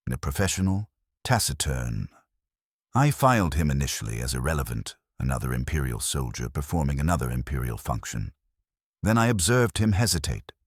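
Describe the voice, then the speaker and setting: A man narrating a dramatic first-person science fiction story in a solemn, measured way, with short clipped phrases. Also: rumbling low, no room sound, studio quality.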